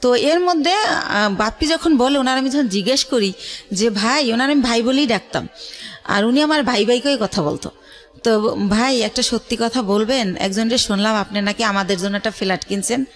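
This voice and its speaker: A middle-aged Bangladeshi woman with a fairly high voice speaks as a radio interview guest. She recounts personal experiences in a halting way, pausing often as she goes. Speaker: guest telling her life story.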